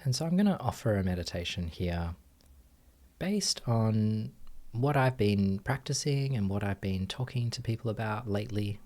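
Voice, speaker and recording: A man guiding a meditation as a teacher, giving gentle instructions with long pauses. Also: on the low side, expressive. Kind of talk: guided meditation.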